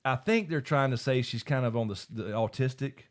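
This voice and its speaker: A man's voice: mid-range voice, fairly quick. A man hosting a casual livestream after-show, rambling, swearing and laughing as he reads out viewer comments. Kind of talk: casual live chat, reading viewer comments.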